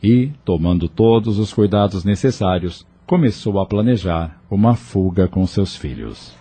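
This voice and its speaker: A man with a husky, mellow voice narrating an audio novel as a dramatic scripted storyteller. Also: low-pitched, animated, unhurried.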